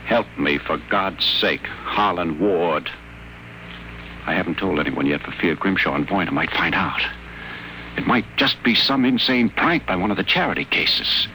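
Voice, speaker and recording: An actor playing a guarded, secretive addict patient, confiding in low, careful tones, in 1940s radio acting style. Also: male voice, lively intonation, moderate pace, bright, forceful tone, a little hiss or hum, poor audio.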